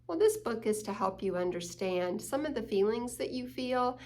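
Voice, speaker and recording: A woman's voice: on the high side, lively intonation, moderate pace, a little mellow. A woman hosting a children's story time, reading a picture book aloud and explaining it with questions to the young listeners.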